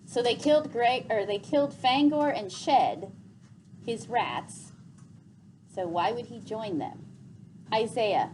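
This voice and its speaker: A woman teacher reading a story aloud in a classroom, explaining and asking questions in clear phrases. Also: on the high side, expressive, takes it slowly, pauses often, a little mellow, rough recording. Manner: clear explanatory phrasing, repeats pupils' answers. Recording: faint classroom background noise.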